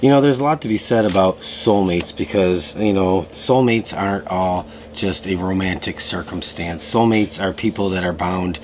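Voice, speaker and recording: Deep-voiced guy doing a tarot reading at home, a bit hoarse, lots of ums, noisy hiss behind him. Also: normal speed, hardly any pauses. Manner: relaxed, loose, full of fillers and restarts. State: slightly hoarse with a lingering cough. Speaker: tarot reader. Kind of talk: casual tarot reading to camera. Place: room at home.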